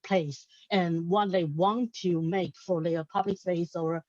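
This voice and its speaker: A woman's voice: on the low side, fairly even intonation, takes it slowly, a little mellow, dull audio, slight background noise. A woman from Taiwan with a Taiwanese accent speaks hesitantly as a panelist, repeating words as she works out her thoughts. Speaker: symposium panelist. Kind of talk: unscripted panel discussion.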